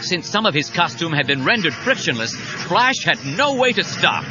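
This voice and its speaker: A man narrates a superhero adventure dramatically, his high voice moving widely in pitch. The sound is a slightly dull cassette with clear music behind him. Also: big pitch swings, normal speed.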